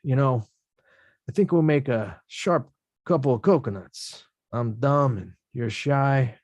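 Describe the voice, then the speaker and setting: A man reading the part of a rough-talking young male boxer at a script table read, slangy and self-mocking. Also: mid-range voice, animated, slow pace, darkish tone, no room sound.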